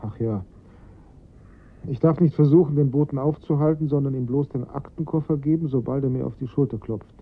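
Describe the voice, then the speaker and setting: An actor playing an anguished, blackmailed father in a radio crime drama, speaking in a strained, halting way. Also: medium pitch, husky. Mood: tense, submissive. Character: desperate, blackmailed father, an anguished office man. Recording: dull, lo-fi radio play recording.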